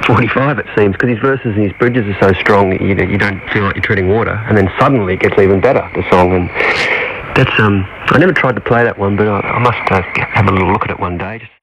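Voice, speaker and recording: A man's voice: fairly low pitch, quick pace, fairly bright tone, a little hiss or hum, low-quality recording. A man chatting casually about music, heard through a shortwave radio broadcast.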